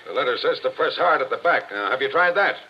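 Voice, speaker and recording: A man's voice: mid-range voice, animated, fast, slight background noise, natural voice. A man playing a blunt police captain, old radio. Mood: pressing. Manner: blunt, wry, pressing questions. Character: shrewd Irish-American police captain, blunt. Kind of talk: 1940s radio drama acting. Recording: muffled old radio broadcast.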